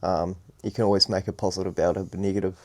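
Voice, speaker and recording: A young man co-hosting a podcast, talking calmly and thoughtfully, with lots of fillers. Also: deep voice, fast, noisy background. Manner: thoughtful, calm, many fillers. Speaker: podcast co-host. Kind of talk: casual chat.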